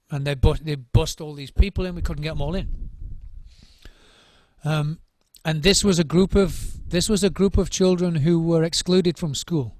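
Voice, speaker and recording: A man's voice: a little high, a little mellow, dry recording. A middle-aged man, a drum circle trainer, giving thoughtful interview answers, often restarting and repeating words as he searches for what to say.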